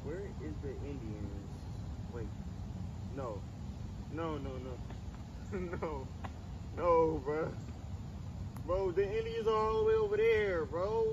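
A man's voice: on the high side, takes it slowly, pauses often, highs cut off. A young man playing a bewildered orphan stranded on a magical island, incredulous and questioning, in colloquial amateur short-film acting. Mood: frustrated. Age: young adult. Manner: incredulous, questioning, repeats himself. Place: outdoors by a lake and woods. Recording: noisy outdoor location sound.

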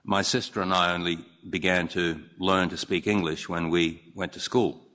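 Deep-voiced man in his sixties. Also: steady tone, bright, forceful tone. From Hong Kong. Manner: measured and earnest, with occasional slips and restarts. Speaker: newly appointed judge giving a speech. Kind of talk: formal ceremonial speech. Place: large courtroom. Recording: long reverb of a large courtroom.

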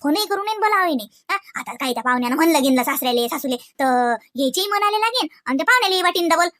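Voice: sits high, expressive, racing, pushed and bright. A performer playing a worldly older village woman, who lectures and keeps checking "right?" after each point. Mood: advising.

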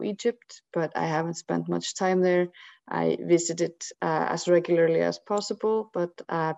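An Icelandic woman with a low, gravelly voice, pausing often to think as she presents a webinar. The sound is a dry, dull video call recording. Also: darkish tone, no room sound. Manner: thoughtful, many hesitations. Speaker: guest speaker.

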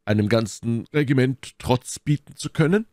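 Here is a man acting out a blustering musketeer in an audiobook adventure. He sounds blunt, emphatic and a little baffled. The voice jumps up high and loud over a dry, close recording. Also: very animated, normal speed, husky, no room sound. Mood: blunt, puzzled. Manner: emphatic, querulous. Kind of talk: audiobook character dialogue.

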